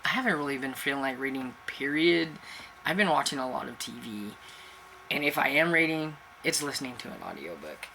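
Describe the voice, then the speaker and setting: A woman hosting a book channel chats loosely to camera, circling back on herself with plenty of fillers. She has a cold, so she sounds stuffy-nosed and a touch raspy. Her tone stays bright and sharp, with a faint hiss of noise behind her. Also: a bass voice, expressive, brisk, rough recording. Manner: rambling and chatty, with many fillers and self-restarts. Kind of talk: casual chat to camera.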